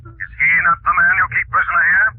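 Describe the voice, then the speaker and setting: An actor playing a menacing invisible ghostly avenger, speaking in an ominous, commanding way through a filter in a 1940s radio drama. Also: male voice, high voice, fairly even intonation, quick pace, breathy edge. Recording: tinny narrow-band 1940s radio recording.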